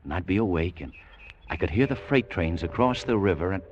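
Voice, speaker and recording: A man's voice: deep voice, expressive, a little fast, lo-fi sound, a little hiss or hum. An actor playing an earnest, awestruck spaceman longing for home, in a dramatic old-time radio style. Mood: nostalgic.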